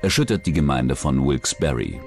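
A man narrating a scripted true-crime documentary in a measured, matter-of-fact manner. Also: very deep voice, lively intonation, a little mellow. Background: background music.

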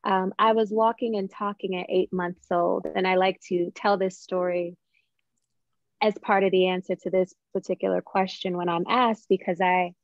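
A young adult woman telling personal stories in a reflective way, with thoughtful, measured phrasing. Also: fairly low pitch, little pitch movement, dry recording. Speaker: guest playwright and poet. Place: small room at home, on a video call. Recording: dull, muffled video-call audio.

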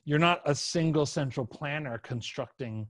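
A man's voice: on the high side, moderate pace, no room sound. A middle-aged man chatting casually as a podcast host, speaking close to the microphone. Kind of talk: casual conversational podcast interview. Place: small room with couch, indoors. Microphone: close podcast microphone on boom, headset.

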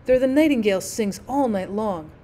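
Woman voicing a weary, mournful old male ghost, hushed. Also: slight background noise, natural voice. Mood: dreamy, wistful. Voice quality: low, dreamy. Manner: slow, mournful, wistful delivery. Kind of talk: storybook character reading.

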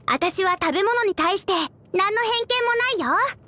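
An extremely high, girlish voice for a fantasy anime game. She is a cheerful teenage cook, eager and bubbly. Her delivery is chatty and very expressive. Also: normal speed, dry recording, heavily acted. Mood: earnest, enthusiastic. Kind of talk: animated anime game character acting.

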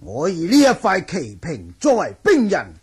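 Formal older martial arts master, Hong Kong Cantonese, very raspy, hugely varied intonation, radio drama. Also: male voice, high voice, huge pitch swings, normal speed, exaggerated voice. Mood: courteous. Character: courteous, measured chess-loving martial arts master, older man. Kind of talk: 1980 radio drama acting.

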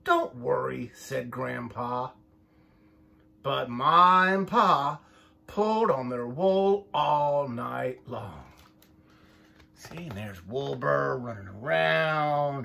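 Gravelly, animated man reading a storybook. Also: fairly high pitch, slight background noise. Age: middle-aged. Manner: folksy, playful. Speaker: storybook reader. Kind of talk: animated children's storytime reading with chatty asides. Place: small furnished room indoors.

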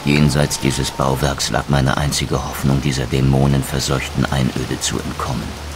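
A man's voice: extremely low pitch, little pitch movement, normal speed, vocal fry, background noise. A man playing a brooding, proud undead wraith in a video game, speaking eloquently and theatrically with sardonic bitterness. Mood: brooding, grave. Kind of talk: dramatic game dialogue and scripted narration.

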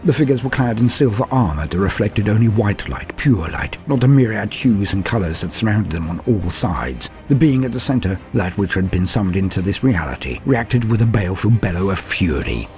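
A man reading a dark first-person horror story, grave and measured, dropping to hushed lows and swelling on the big moments. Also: expressive. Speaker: narrator. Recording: soft music bed underneath.

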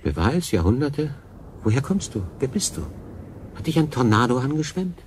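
An actor playing a bewildered, stranded lone sailor in a radio play, halting and doubting his own sanity. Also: mid-range voice, big pitch swings, a little hiss or hum, natural voice. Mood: bewildered, curious. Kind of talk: German radio drama acting.

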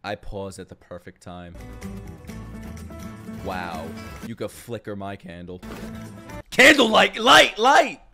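A man's voice: on the low side, sing-song, takes it slowly, creaky, pauses often. A man streaming live reactions to game videos, joking and swearing in slangy, exclamatory bursts. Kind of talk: live reaction commentary, crude slangy banter. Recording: music playing behind the voice. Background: game trailer music.

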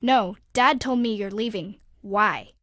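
A woman's voice: medium pitch, takes it slowly, fairly bright tone, dry recording, studio quality. A woman voicing a hurt, questioning young daughter in a video game, with a plaintive manner. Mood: hurt, pleading. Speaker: voice actor.

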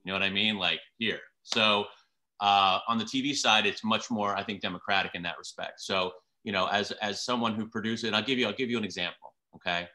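A man's voice: lowish voice, fairly even intonation, a little fast, pushed and bright. A man in his fifties, a TV producer, explaining things casually on a video call from his living room, telling stories and giving examples. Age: 50s. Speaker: TV producer guest.

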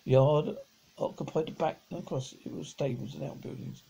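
A middle-aged man reads a children's story aloud, stumbling over words and rereading them, in a husky, gravelly voice. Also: fairly high pitch, steady tone, a little fast, mellow, slight background noise. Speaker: amateur audiobook reader. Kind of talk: reading a children's novel aloud. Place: living room at home, on a sofa.

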